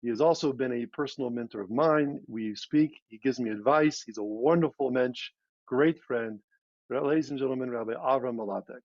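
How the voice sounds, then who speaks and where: A man's voice: mid-range voice, normal speed, darkish tone, dry recording, muffled recording. A man delivering a prepared, formal introduction of a guest speaker as an event host on a video call.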